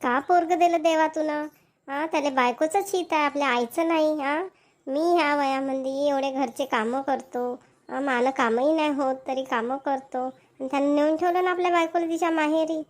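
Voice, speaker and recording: A woman's voice: sits high, fairly even intonation, normal speed, natural voice. A woman with a Vidarbha Marathi accent voicing a grumbling, self-pitying older mother-in-law in an amateur cartoon. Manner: nagging, complaining.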